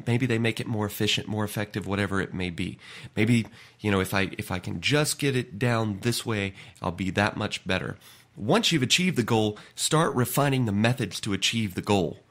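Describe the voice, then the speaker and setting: Nasal man with a cold, low voice. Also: normal speed, a bit bright. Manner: earnest, conversational, repeats words. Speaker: podcast host. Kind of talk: solo motivational talk.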